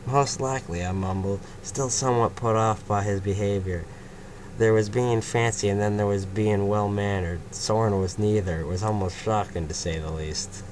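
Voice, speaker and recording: A man's voice: fairly low pitch, steady tone, moderate pace, darkish tone, low-quality recording. A young man narrating an amateur fanfiction reading, stumbling over words, swearing and chatting casually between passages. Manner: stumbling, swearing, laughs at own slips. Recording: clear background noise.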